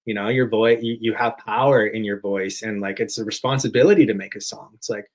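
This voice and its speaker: A man's voice: lowish voice, fairly even intonation, fairly quick. A man, a musician guest, talking earnestly in a casual interview over a video call, with lots of filler words.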